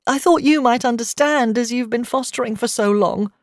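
Woman acting a tearful, high-voiced distraught foster mother. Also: extremely slow, darkish tone, no echo, clean studio sound. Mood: tearful, shaken. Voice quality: trembling, breaking with sobs. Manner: emotional, indignant outbursts.

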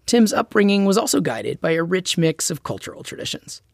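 A man's voice: sits high, very animated, a little fast, creaky, darkish tone. A man hosting and narrating a documentary-style story in a conversational, personal scripted way. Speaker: host and narrator.

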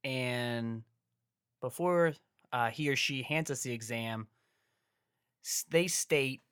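A man's voice: mid-range voice, takes it slowly, a bit bright, smooth, no room sound, clean studio sound. A young man co-hosting a podcast, ranting in a sarcastic, exasperated way. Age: young adult. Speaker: podcast co-host. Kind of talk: casual podcast rant.

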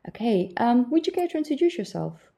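Mellow-voiced woman hosting a campus chat. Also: a little high, big pitch swings, a little fast, creaky. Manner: polite, apologetic, encouraging listener. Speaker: host, lecturer. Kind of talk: campus podcast interview.

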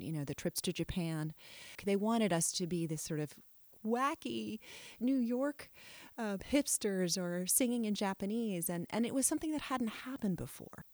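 Middle-aged woman, low voice, frank and reflective, keeps restarting sentences, dry close sound. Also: a little mellow, dry recording. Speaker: interviewee. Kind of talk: candid personal storytelling.

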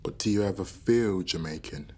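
A performer with a British accent playing a grieving, searching young British-Jamaican in a radio play, husky and hesitant. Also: low voice, moderate pace, darkish tone. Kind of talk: naturalistic radio play acting.

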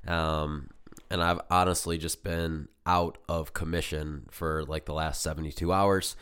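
A man hosting a casual solo podcast as a business coach, hoarse from being sick, talking earnestly with lots of fillers. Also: very deep voice, level tone, a little slow, fairly bright tone. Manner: rambling, filler-heavy, earnest. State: hoarse, losing voice from illness.

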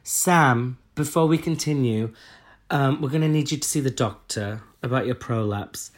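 A man co-hosting a podcast, talking in crude, joking banter with a hoarse voice that is still recovering. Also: medium pitch, normal speed. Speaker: podcast co-host. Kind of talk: casual comedic chat.

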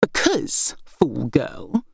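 A woman's voice: a bass voice, wildly swooping pitch, extremely slow, creaky, a little mellow, breathy edge, no echo, studio quality, exaggerated voice. Woman voicing an imperious, furious young princess. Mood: contemptuous.

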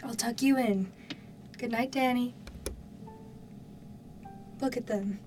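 A woman's voice: a little high, slow pace, darkish tone, recorded from a distance, faint music behind. A woman playing a caring, mildly skeptical mother in an audio drama, with a conversational, questioning delivery. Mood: tender. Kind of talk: naturalistic audio drama acting.

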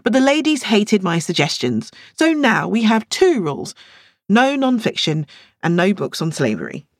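A firm, organised woman chairing a club voiced by a female audiobook reader. She speaks insistently in a raised, animated pitch, recorded dry. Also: normal speed, no room sound. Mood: taking charge. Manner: orderly, insistent, explanatory.

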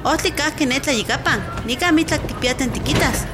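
A woman's voice: high-pitched, normal speed, lightly acted. A woman playing an instructive village godmother and midwife in a radio drama. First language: Nahuatl. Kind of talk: radio drama acting in Nahuatl. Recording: music bed behind the voice.

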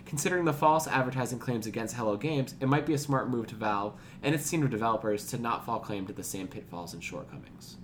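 A man's voice: medium pitch, fast talker, hardly any pauses, slight background noise. A man co-hosting a gaming podcast in an informal way, moving between casual chat and reading news. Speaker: podcast co-host.

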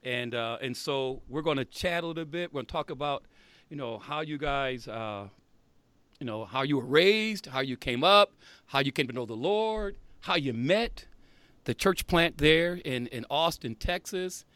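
An upbeat man hosts a relaxed podcast interview with a chummy, joking manner. His voice sits in a middle range and sounds dry and close to the mic. He often checks in with "right?" as he talks. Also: mid-range voice, moderate pace, no room sound. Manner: upbeat, chummy, laughs, keeps asking "right?". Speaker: podcast host.

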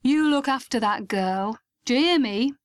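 A woman voicing a gruff, protective older mother who talks bluntly and scolds. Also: sits high, lively intonation, normal speed, dry recording. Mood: stern.

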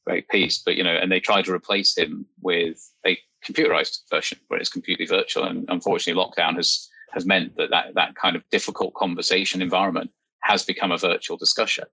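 A man hosting a podcast, talking thoughtfully in a relaxed, conversational way and asking his guest open questions. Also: sits low, fast talker, creaky, slightly rough, no room sound, poor audio. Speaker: podcast host. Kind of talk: casual conversational discussion.

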